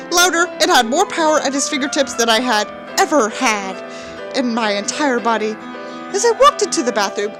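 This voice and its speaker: A woman doing a dramatic book reading with sarcastic, sweary asides in a theatrical manner. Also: a little high. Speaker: book reviewer and reader.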